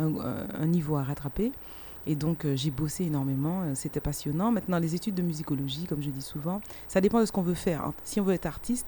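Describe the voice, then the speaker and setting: Martinican woman, mellow voice, emphatic talker. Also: deep voice, slight background noise. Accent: Martinican French. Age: middle-aged. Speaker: singer and musician interviewed as guest. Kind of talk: casual spoken interview, telling own career story.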